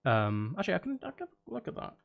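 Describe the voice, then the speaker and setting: A man's voice: high-pitched, huge pitch swings, fast talker, vocal fry, lo-fi sound. A man chatting casually on a live stream, rambling and thinking aloud in a hesitant way. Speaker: live streamer composing game music.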